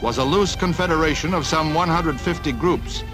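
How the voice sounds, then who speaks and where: A man's voice: fairly high pitch, normal speed, over music, close to an everyday voice. A man as a formal news reporter, reading a scripted newsreel narration. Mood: measured, factual.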